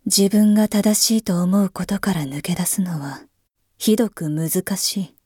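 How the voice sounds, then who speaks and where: A weary, brooding emperor, voiced by a woman, muses in a slow, half-whispered, husky tone. The voice is dark and mellow at a middle pitch, with a dry, close sound and no room around it. The old-fashioned, imperious phrasing carries quiet disdain and tiredness. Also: no echo. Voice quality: archaic, imperious speech. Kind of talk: voice drama monologue.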